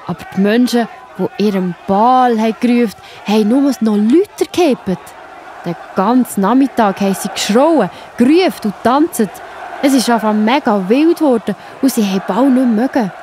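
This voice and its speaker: A woman's voice: a little high, moderate pace, warm tone, slight background noise. A woman with a Swiss accent narrating a children's Bible story, explaining simply and speaking directly to young listeners.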